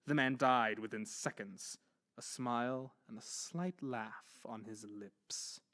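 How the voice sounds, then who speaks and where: Intense man narrating horror, bright forceful voice. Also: mid-range voice, slow pace. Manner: intense, theatrical. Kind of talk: dramatic horror story narration.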